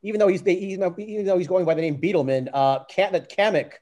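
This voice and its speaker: Slightly high-voiced man reading stage directions. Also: fairly even intonation, fast talker, a little mellow. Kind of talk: amateur script reading and casual chat.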